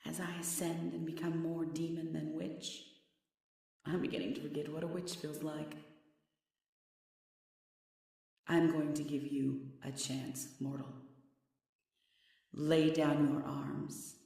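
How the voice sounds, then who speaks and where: A cruel half-demoness, half-witch villainess voiced by a woman taunts her foe with imperious, theatrical menace. Her voice has a creaky edge and rings with heavy echo, slightly away from the mic, in the manner of a fantasy ASMR roleplay. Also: sits low, little pitch movement, warm tone. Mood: gloating. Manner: mocking, imperious, theatrical menace with slow drawn-out pauses. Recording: very echoey, slightly distant.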